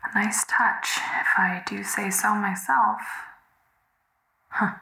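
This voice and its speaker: A woman's voice: medium pitch, steady tone, takes it slowly, gravelly, full character voice. An actress playing a troubled, secretive young woman, whispering a hesitant, intimate audio diary in a roomy recording. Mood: secretive, anxious. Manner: hushed, often whispering, hesitant. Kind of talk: intimate confessional audio drama monologue.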